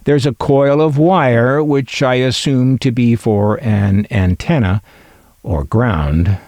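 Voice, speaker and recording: A man's voice: medium pitch, takes it slowly, a little mellow, no echo. An older man narrating a scripted hobby video as a collector, musing aloud with dry, wry humor. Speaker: collector presenting vintage radio finds.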